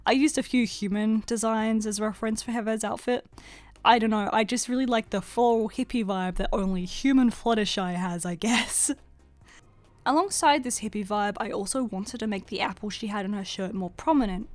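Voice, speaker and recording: A young woman with a mid-pitched voice talks through scripted commentary in a casual way. Her delivery is chatty and informal, with a self-deprecating streak, like an online artist presenting their own work. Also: moderate pace, no room sound. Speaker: artist and video creator.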